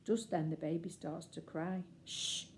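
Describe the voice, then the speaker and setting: Mellow woman reading a children's story. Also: lowish voice, moderate pace, slight background noise. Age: older. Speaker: storyteller reading a picture book. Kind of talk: expressive children's story narration.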